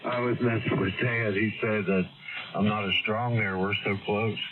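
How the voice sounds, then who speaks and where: A man's voice: on the low side, fairly even intonation, moderate pace. A man chatting casually as an amateur radio operator over the air. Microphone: amateur radio transmission. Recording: narrow phone-like radio signal, loud static.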